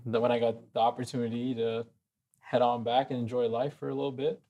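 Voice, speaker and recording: A man's voice: medium pitch, little pitch movement, fairly quick, highs cut off. A man raised in Dubai who works as a DJ, chatting easily and joking as a panel guest. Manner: easygoing, joking. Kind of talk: casual chat.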